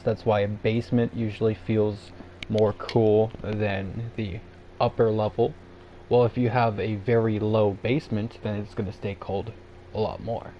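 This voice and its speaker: A fairly low-voiced man with a Canadian English accent chats loosely through a livestream. He wanders off mid-sentence and leans on fillers and restarts. The sound is muffled and lo-fi, with a faint hiss underneath. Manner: rambling, many fillers and restarts. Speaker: streamer answering chat. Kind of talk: casual livestream chat.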